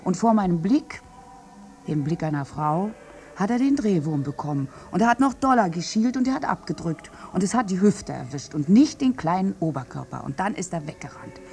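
A woman's voice: lowish voice, sing-song, normal speed, mellow, a little hiss or hum. An actress playing a bitter, wounded village woman who survived a massacre, in a theatrical, morbidly wry radio drama monologue. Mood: haunted, defiant.

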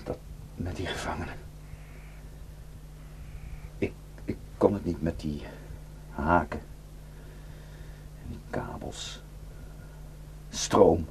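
An actor playing a haunted, guilt-ridden male defector in a Dutch radio drama, speaking in a halting, intense and bitter way. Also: sits low, a little mellow. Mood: anguished, guilty. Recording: noisy radio play recording with hum.

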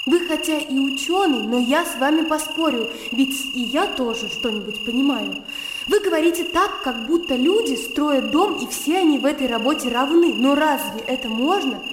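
An actress in a radio play voicing a naive, self-assured young country gentlewoman, artless, lively and argumentative. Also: high-pitched, normal speed, heavy background noise. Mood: stubborn, arguing. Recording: echoey reverberant sound with loud music.

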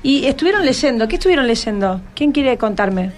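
A woman's voice: high voice, big pitch swings, moderate pace, faint music behind. An Argentine woman hosting a radio interview in a studio, chatting informally and coaxing her guests along with questions. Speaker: radio host interviewing school students. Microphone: studio microphone.

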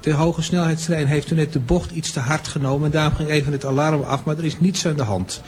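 An actor playing a pompous, petty train conductor in a Dutch radio play, officious and stammering when flustered. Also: male voice, on the high side, a little fast, a little mellow, natural voice. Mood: smug, officious. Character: pompous petty train conductor who turns cowering and apologetic.

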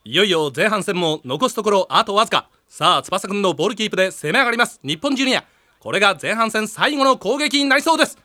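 A man playing an excitable play-by-play soccer announcer in an anime, building up each play dramatically. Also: high-pitched, fast, dry recording, studio quality. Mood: eager, building. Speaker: sports commentator. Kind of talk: anime sports commentary.

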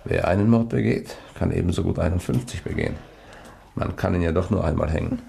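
Cool dry-witted reporter hero, lowish gravelly voice, old hissy radio play. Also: male voice, a little fast. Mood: tense. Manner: calm, dryly ironic under pressure. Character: resourceful cool-headed male journalist hero. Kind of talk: 1960s radio drama acting.

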